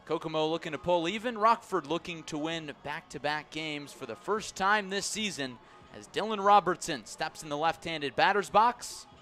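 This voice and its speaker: A man calling baseball live on the radio as play-by-play announcer, measured between pitches and excitable on big plays. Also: soft background music. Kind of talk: live radio sports commentary.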